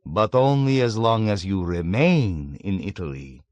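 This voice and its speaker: A man's voice: fairly low pitch, big pitch swings, a little slow, a little mellow, no room sound, lo-fi sound. A man voicing a sly, mocking old man of 107. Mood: scoffing. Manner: suave, mocking, scoffing.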